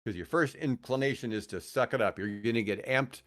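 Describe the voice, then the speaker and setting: An older man with an American accent, telling rambling, animated anecdotes casually as a radio veteran on a podcast. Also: mid-range voice, fairly quick. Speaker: radio host and guest.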